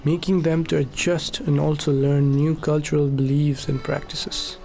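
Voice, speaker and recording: A man reading scripted documentary narration in an earnest, measured way. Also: a little high, level tone, vocal fry, darkish tone, soft background music.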